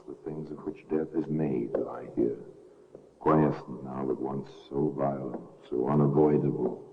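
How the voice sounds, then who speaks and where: A man narrating and hosting a 1950s radio mystery in a slow, grave, theatrical manner. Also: rumbling low, a little hiss or hum. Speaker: host and narrator. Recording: tinny restored old radio broadcast.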